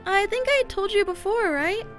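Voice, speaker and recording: Woman doing shy polite middle school anime girl, really high bright voice, earnest, kinda hesitant. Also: lively intonation, moderate pace, faint music behind. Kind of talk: anime-style manga dub dialogue.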